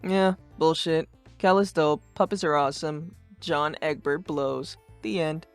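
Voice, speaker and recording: A performer voicing a brash, sarcastic, too-cool teenage boy who rants and swears with deadpan cool in a fan-dubbed comic. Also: mid-range voice, a little slow, smooth, dry recording, natural voice. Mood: defensive. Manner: foul-mouthed, ranting, deadpan cool. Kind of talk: fandub of a webcomic chat. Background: music.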